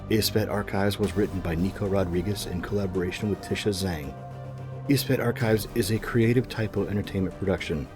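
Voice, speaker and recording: Very deep male credits announcer. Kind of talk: scripted credits narration. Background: music.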